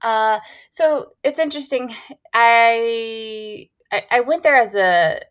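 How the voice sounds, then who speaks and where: A woman's voice: a little high, slow pace, fairly bright tone, smooth. A woman from California with a Californian accent, telling her story casually as a podcast guest. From Central Valley, California. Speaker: podcast guest, life coach.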